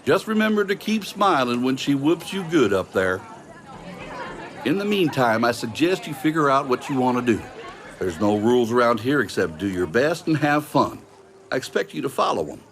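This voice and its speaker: An actor playing a folksy, wry pilot mentor in a video game cutscene, welcoming but firm and plain-spoken. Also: male voice, mid-range voice, big pitch swings, moderate pace, fairly bright tone. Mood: friendly, firm.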